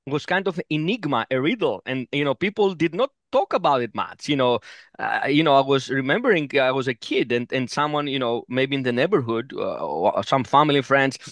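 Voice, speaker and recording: A middle-aged man from Greece, answering interview questions thoughtfully with many pauses and fillers over a headset on a video call. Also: medium pitch, expressive, a bit bright, no echo. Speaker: oncologist guest. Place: home room, video call.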